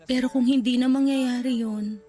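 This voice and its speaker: Woman playing a high-voiced, tender dying young woman. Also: steady tone, moderate pace, mellow, lightly acted. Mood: resigned, pleading. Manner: tender, earnest, sentimental. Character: gravely ill young woman, loving, resigned to dying. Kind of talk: Tagalog radio romance drama acting. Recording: music under the voice.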